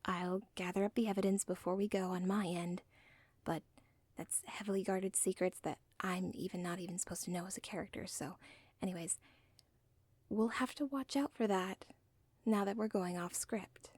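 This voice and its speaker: A woman playing a villain's lovestruck, self-aware daughter in an ASMR roleplay, whispering softly with a halting, deliberate, intimate delivery. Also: medium pitch, fairly even intonation, no echo, close to an everyday voice. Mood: firm, earnest. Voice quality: soft, breathy, whispery.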